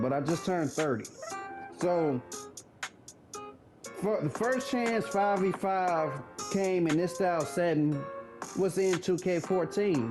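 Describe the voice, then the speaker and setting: A thirty-year-old man giving laid-back, blunt gaming commentary, swearing casually. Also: fairly high pitch.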